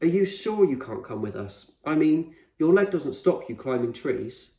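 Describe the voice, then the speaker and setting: Man voicing an anxious, stubborn boy, pitched up. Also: sing-song, moderate pace, warm tone. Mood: anxious, pleading. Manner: urgent, questioning, argumentative. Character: anxious, stubborn young boy, questioning and defiant. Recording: roomy echo, distant microphone.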